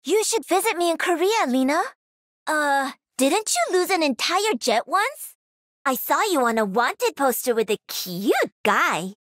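An actress playing a cocky, playful young pro-gamer mech pilot in a video game, with peppy, punchy delivery. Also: female voice, high-pitched, big pitch swings, measured pace, a bit bright, no room sound, clean studio sound, exaggerated voice. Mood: playful, teasing. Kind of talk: video game character voice lines.

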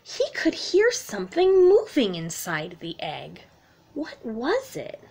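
High-voiced woman reading slowly to children. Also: gravelly, slight background noise. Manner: expressive, simple explanations addressed to young children. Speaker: children's music teacher. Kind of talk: children's storytime read-aloud. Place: small room at home, indoors.

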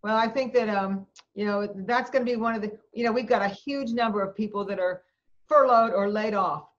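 A woman moderating a video call town hall, answering questions in a measured way with many fillers. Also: medium pitch, dull audio.

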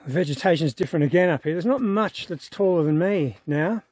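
A man, talking to his camera in a relaxed, awed way as he hikes an open alpine trail, filmed at arm's length. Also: fairly high pitch, fairly quick, husky, dry recording. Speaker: hiker vlogger. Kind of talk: casual on-the-go vlog narration. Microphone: phone camera held at arm's length.

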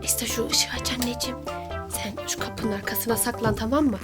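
A woman speaking as a mother in a playful, animated way, giving a child encouraging instructions during a game. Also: a little high, moderate pace. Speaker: mother playing hide-and-seek with child. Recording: loud music over the voice.

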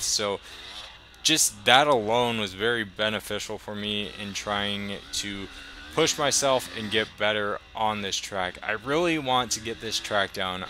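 A young man talking casually over his riding footage as a motovlogger, relaxed and enthusiastic, a little rambling. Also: lowish voice, slight background noise.

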